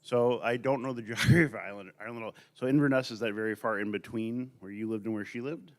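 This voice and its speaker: A man's voice: fairly low pitch, fast. A man interviewing a guest in a casual, friendly way, with small jokes between his questions. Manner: friendly, joking, lively intonation.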